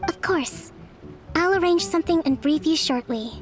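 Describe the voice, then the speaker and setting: A woman playing a gentle, composed young resistance leader in a video game, measured, formal and resolute. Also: extremely high pitch. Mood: calm, encouraging. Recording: light music behind the voice.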